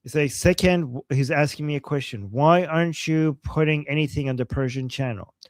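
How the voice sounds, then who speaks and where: A man's voice: on the high side, fairly quick, darkish tone, no echo. A man hosting a live stream, chatting casually as he reads out and answers viewer messages, often repeating phrases for emphasis. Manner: switches between English and Persian, translating aloud.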